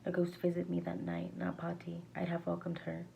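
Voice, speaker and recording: Woman reading a book out loud, super gravelly fry, mellow, trips on words a bit. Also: on the low side, monotone. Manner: halting, stumbles over words and rereads. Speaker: amateur reader. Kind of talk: reading a verse novel aloud. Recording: faint background noise.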